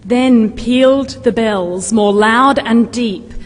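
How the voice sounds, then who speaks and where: Female poetry reader: solemn, expressive, extremely unhurried, mid-pitched, recorded in a big echoing hall. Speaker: reader at a carol service. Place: large university hall. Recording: echoey large hall, long reverb.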